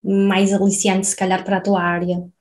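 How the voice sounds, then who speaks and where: A woman's voice: mid-range voice, level tone, a little fast, a little mellow. A woman with a European Portuguese accent hosting an interview, chatty and laughing easily, often checking in with the guest. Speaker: podcast host. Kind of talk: conversational interview.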